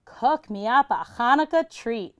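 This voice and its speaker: An eager little storybook boy, acted out by a woman reading aloud. He is boastful and excitable, chanting and exclaiming in a high voice at an unhurried pace. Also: smooth. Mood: confident.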